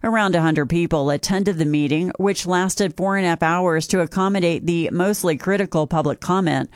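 A woman with an American accent delivers scripted radio news in a lowish voice. The sound is close and very dry, as in a treated studio. She reads as an anchor and reporter. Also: moderate pace, no echo.

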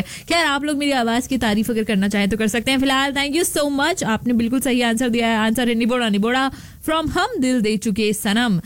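A woman's voice: a little high, no room sound. A young Indian woman hosting a radio show in a casual, playful, chatty way, slipping English phrases into her talk. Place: radio studio.

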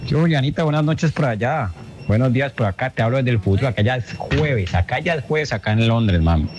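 A man's voice: medium pitch, expressive, fairly quick, a little mellow. A man with a Cali Colombian accent sending an exuberant, slangy, casual voice message to a radio show. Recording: dull, noisy voice message.

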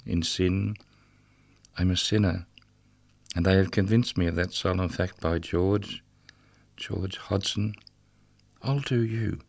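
A man's voice: low-pitched, gravelly, no room sound, pitched below the performer's own voice. A man reading in the voice of a condemned prisoner, bitter and mocking, in a rhythmic, sardonic recitation. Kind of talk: poetry reading.

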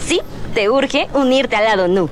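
A woman's voice: fairly high pitch, animated, a little slow. An actress with a Mexican accent playing a keen woman recommending something to a friend in a radio advert. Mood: keen, persuasive. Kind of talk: scripted dialogue advert. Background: music bed.

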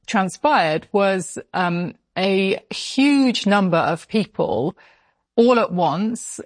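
A woman's voice: mid-range voice, no echo, clean studio sound. A woman, a foreign correspondent explaining events in an interview.

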